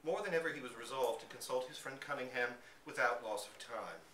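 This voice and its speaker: A man giving an expressive live dramatic reading of a literary short story. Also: mid-range voice, brisk. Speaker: story reader. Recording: echoey room, distant mic, background noise.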